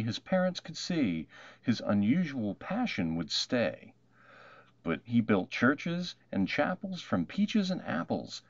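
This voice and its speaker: A man narrating a rhyming children's storybook in an expressive, measured way. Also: fairly low pitch, no echo, muffled recording. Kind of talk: rhyming picture book read-aloud.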